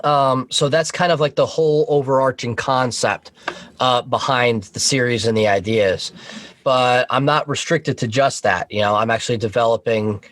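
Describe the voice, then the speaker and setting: A man speaking as an interview guest, informal and halting as he thinks aloud about his creative work. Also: mid-range voice, fairly even intonation, fairly bright tone, no echo. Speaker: guest, audio horror series creator. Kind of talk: casual interview talk.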